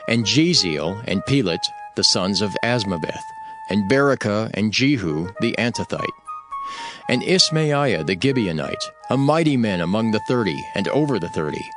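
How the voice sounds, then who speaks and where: A man narrating scripture from a script in a measured, formal manner. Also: lowish voice. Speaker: Bible narrator. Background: background music.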